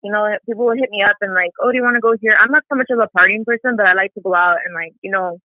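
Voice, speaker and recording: A woman's voice: mid-range voice, racing. Phone-band sound, young woman, casual interview guest, filler-heavy conversational talk, no room echo. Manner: frequent "like" and "you know". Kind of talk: casual chat. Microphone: phone call. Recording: tinny phone line, dry.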